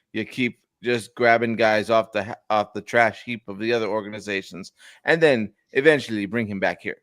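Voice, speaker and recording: A man's voice: on the low side, a bit bright, dry recording. A man co-hosting a casual sports podcast, talking in a put-on Jamaican accent from a lost bet. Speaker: podcast co-host. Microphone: remote streaming mic.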